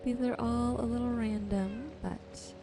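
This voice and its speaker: A woman softly and gently narrating her gameplay in an ASMR style, musing to herself as she goes. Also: a little high, steady tone, unhurried, over music. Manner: soft-spoken, gentle musing to herself while sorting. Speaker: gaming video host.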